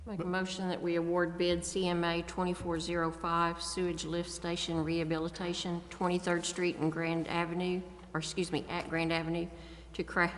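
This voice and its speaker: Woman at a council meeting reading a motion, lowish voice, reverby room. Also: completely flat delivery, moderate pace. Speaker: council member making motions. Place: large indoor council chamber. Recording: echoey chamber with background noise.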